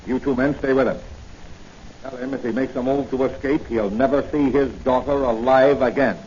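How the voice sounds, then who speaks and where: An actor playing a hard, commanding gang chief in 1940s radio style, curt and menacing. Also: male voice, mid-range voice, fairly even intonation, normal speed, darkish tone, lightly acted. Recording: muffled, noisy 1940 radio recording.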